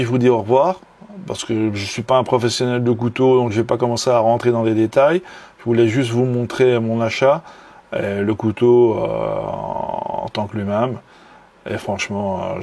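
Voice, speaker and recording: A man's voice: fairly low pitch, creaky, darkish tone, husky. A man from Belgium with a Belgian French accent, showing off a knife in a casual video review, full of fillers and restarts and admiring enthusiasm. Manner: hesitant, many fillers and restarts. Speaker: knife hobbyist vlogger.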